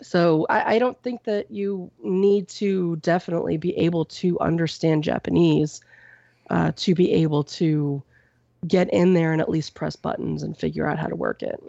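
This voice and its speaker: A woman co-hosting a podcast from home on a boom-arm mic. She reads out a news story and then chats about it loosely, with plenty of "um" and "you know". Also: fairly even intonation, normal speed, vocal fry, warm tone, no echo, highs cut off. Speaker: podcast co-host reading news. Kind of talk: casual news chat. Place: at home with a cat nearby. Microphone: microphone on a boom arm.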